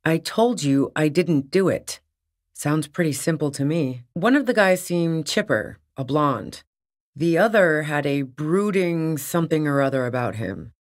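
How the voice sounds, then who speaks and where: A woman's voice: deep voice, expressive, measured pace. A tough, sardonic fugitive woman. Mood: defensive, firm. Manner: blunt, sardonic, foul-mouthed. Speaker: video game voice actor. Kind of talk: video game character dialogue.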